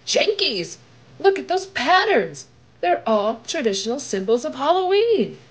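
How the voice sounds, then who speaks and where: A woman's voice: high-pitched, big pitch swings, unhurried, voice pushed up. A woman voicing an eager, brainy young woman detective in a storybook, emphatic and exclamatory. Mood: amazed.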